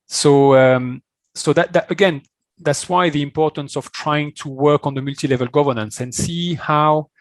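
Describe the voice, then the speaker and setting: A man speaking off the cuff as a conference panelist, explaining in a halting way and often repeating words. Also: on the high side, no room sound. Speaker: conference speaker, climate initiative representative. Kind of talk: spontaneous explanation at an online conference.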